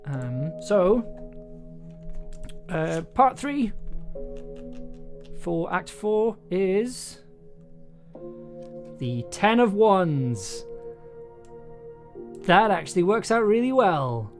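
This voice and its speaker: A livestream host chatting casually, halting and breaking off mid-sentence while thinking aloud and reading out writing. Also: mid-range voice, big pitch swings. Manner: hesitant, halting, self-interrupting, thinking aloud. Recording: music playing behind the voice. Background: music, computer keyboard typing.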